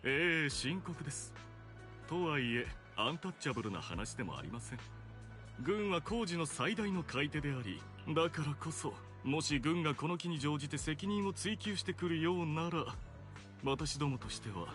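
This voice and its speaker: Courteous, fast-talking game banquet host. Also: male voice, fairly high pitch, lively intonation, fairly bright tone. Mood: formal, measured. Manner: polite, formal, smooth-tongued host. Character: courteous, composed male banquet host of high standing. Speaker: video game character. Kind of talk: scripted Japanese game voice acting. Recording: game music under the voice.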